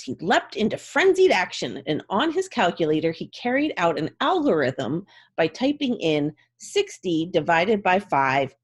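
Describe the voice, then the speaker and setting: A woman's voice: on the low side, husky. A woman giving a dramatic, theatrical storybook reading as narrator. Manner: theatrical, expressive.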